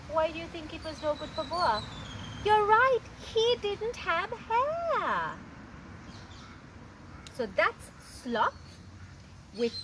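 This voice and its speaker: A woman reading a picture book aloud to children in a teacherly, expressive way, stretching words for emphasis and putting questions to her listeners. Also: high voice. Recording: clear background noise.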